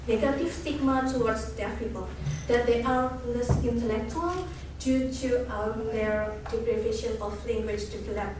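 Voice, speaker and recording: A young woman from Indonesia with an Indonesian accent, giving an earnest student research presentation and often restarting words. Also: on the high side. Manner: earnest, explanatory, frequent restarts. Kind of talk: academic presentation. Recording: echoey room from far off, noisy.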